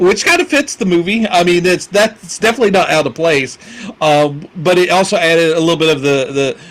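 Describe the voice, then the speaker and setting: Podcast guy rambling about a movie, lots of ums, kinda high voice. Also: fairly bright tone. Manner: rambling, many fillers and restarts, laughs often. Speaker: podcast co-host. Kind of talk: casual film discussion.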